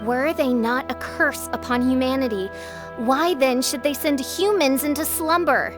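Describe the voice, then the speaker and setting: A woman's voice: sits high, a little slow, music in the background. A woman voicing a theatrical teenage girl posing as a haughty princess in a video game, with grandiose, archaic speech. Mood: grave, commanding. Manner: grandiose, archaic, alliterative, melodramatic. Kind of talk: video game character dialogue.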